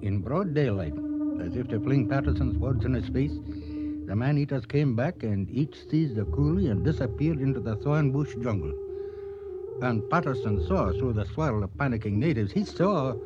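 Dramatic male narrator over loud music. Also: medium pitch, animated, moderate pace, muffled recording. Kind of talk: dramatic scripted radio narration.

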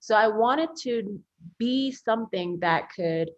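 A woman's voice: a little high, animated, slow pace, lo-fi sound. A woman attorney from the United States, a guest on a podcast, telling her story earnestly with many ums and you-knows. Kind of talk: podcast interview.

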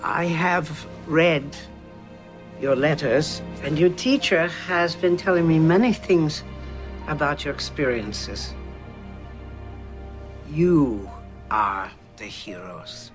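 Elderly austrian woman, humble rescuer, slow gentle accented speech, faint music under a film clip. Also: sits low, animated. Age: older. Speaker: film character in a played clip.

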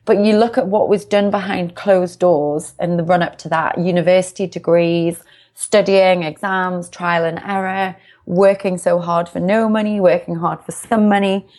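Young uk woman guest chatting about herself, bubbly, lowish voice. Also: fairly even intonation, normal speed, darkish tone. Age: young adult. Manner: bubbly, upbeat, self-reflective. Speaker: guest, success coach. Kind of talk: casual interview chat.

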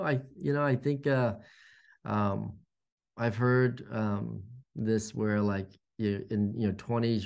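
Man, 40s, pastor guest; low and gravelly, hesitant delivery full of restarts. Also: mellow. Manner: halting, many fillers and restarts. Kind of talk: reflective faith conversation.

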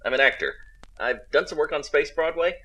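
A man voicing a male space pirate who puts on a gruff pirate growl. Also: a little high, lively intonation, fairly quick, pushed and bright, low-quality recording. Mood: chatty, candid. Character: male space pirate, really a jobbing actor.

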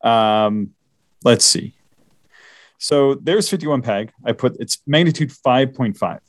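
A man's voice: medium pitch, lively intonation, takes it slowly, dry recording. A man co-hosting a casual astronomy podcast, rambling with many fillers and restarts. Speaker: podcast co-host.

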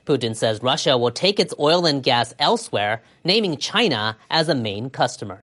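Female news anchor with a low register, formal and measured, reading scripted international reports. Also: very animated, fairly bright tone.

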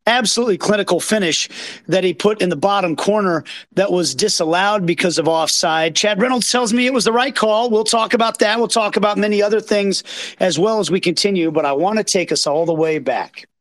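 Hoarse, excited man hosting a reaction show. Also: high voice, fairly quick. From Kansas City, Kansas. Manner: excited, rambling, self-deprecating. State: hoarse from yelling. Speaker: podcast host. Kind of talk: live post-match reaction chat. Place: green room behind an outdoor stage. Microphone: phone.

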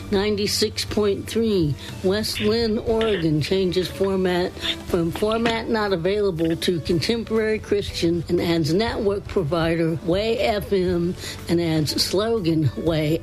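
A woman's voice: medium pitch, poor audio. A woman announcer reading a scripted list in a formal, evenly recited way. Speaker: radio segment announcer.

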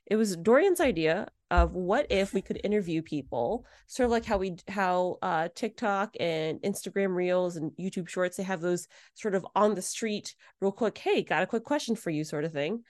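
A woman's voice: on the low side, moderate pace, few pauses, darkish tone. A woman co-hosting a podcast, chatting casually and laughing easily. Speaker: podcast co-host.

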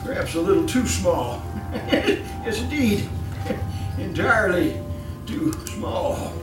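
A man's voice: sits high, very animated, slow pace, distant mic, heavily acted. A man acting in a live old-time radio horror play as a falsely genial, fussy employer who chuckles, stammers and repeats himself with hidden menace. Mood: sinister, oily. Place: live stage performance. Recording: roomy stage from afar, music behind.

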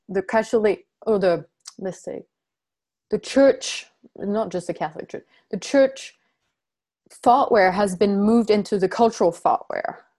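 Woman, from France, workshop facilitator, halting, frequent restarts, explaining slowly. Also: medium pitch, a little mellow. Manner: halting, many restarts and self-corrections. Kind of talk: teaching a group, reading from notes.

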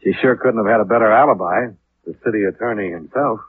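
Skeptical american detective on tinny old 50s radio, lowish slightly husky voice, dry and clipped. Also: male voice, fast, no room sound. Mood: dry, probing. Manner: dry, probing, clipped questioning. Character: tough, skeptical insurance investigator, a grown man. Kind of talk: 1950s radio detective drama acting. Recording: tinny, muffled 1950s radio recording.

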